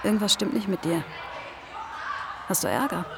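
An actress playing a guarded, secretive woman with a hard past in a naturalistic radio drama, terse and halting, with a husky voice. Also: medium pitch, lively intonation, crowd noise. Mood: probing, wary. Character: guarded, secretive woman on the run, scarred mother.

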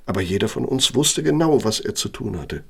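Gravelly, cynical middle-aged German functionary. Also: male voice, lowish voice, expressive, fairly quick, fairly bright tone, heavily acted. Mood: boastful. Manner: self-justifying, pointed, emphatic. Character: cynical, bitter male architect, old Nazi functionary. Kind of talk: German radio play acting, dramatic monologue.

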